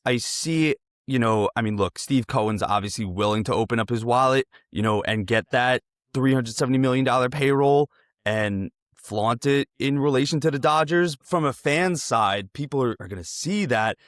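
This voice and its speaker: A man's voice: mid-range voice, normal speed, dry recording, clean studio sound. A man hosting a sports show, chatting casually as he interviews a guest.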